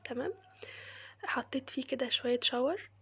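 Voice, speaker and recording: Breathy whispering Egyptian woman with a cold. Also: high-pitched, brisk. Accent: Egyptian Arabic. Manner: soft, mostly whispered, chatty asides. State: has a cold, voice changed. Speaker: crochet maker demonstrating cleaning. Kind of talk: casual how-to tutorial.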